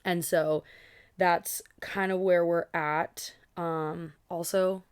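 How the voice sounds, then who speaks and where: A woman's voice: lowish voice, little pitch movement, slow, clear, resonant tone. A young woman streaming a read-along, chatting casually with lots of fillers and asides, her voice tired and a little scratchy. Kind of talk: casual chat to a live audience.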